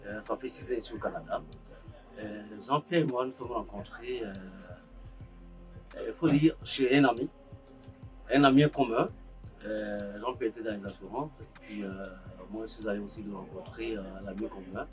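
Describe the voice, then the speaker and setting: A man's voice: fairly low pitch, moderate pace, faint music behind, rough recording. A man from Côte d'Ivoire, an accountant by training, giving a hesitant interview testimony. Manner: hesitant, frequent filler sounds. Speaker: farm co-founder, accountant by training.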